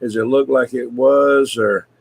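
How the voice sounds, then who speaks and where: A man in casual CB radio chat, talking in a folksy, rambling, hesitant way with frequent pauses. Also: mid-range voice, fairly even intonation, breathy edge. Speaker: CB radio hobbyist, video maker.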